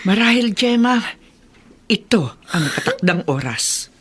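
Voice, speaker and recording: An actress playing a sorrowful, resigned middle-aged aunt in a radio drama. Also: female voice, mid-range voice, very animated, unhurried, husky. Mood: sad, resigned. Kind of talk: Tagalog radio drama acting.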